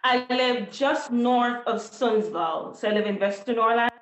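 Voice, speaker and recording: Measured teacher from Jamaica, woman. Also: fairly high pitch, roomy sound, poor audio. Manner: measured and deliberate, listing points in order. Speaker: guest, teacher. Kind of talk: interview answers.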